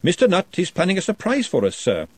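A man voicing a sharp-tongued, defensive young woman. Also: high-pitched, wildly swooping pitch, fast, breathy edge, no echo, pitched above the performer's own voice, full character voice. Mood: snappy. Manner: snappy, defensive.

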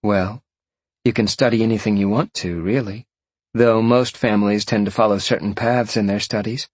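A man's voice: fairly low pitch, fairly even intonation, moderate pace, a little mellow, breathy edge, no echo. A man voicing a playful, teasing young sorceress who talks in a breezy, confiding way for an audiobook. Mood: casual, proud.

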